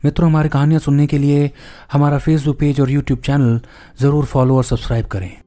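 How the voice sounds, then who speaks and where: Dark-voiced male storyteller over music. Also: fairly high pitch, level tone, a little fast. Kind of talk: dramatic scripted Hindi story narration. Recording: music bed under voice. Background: background music.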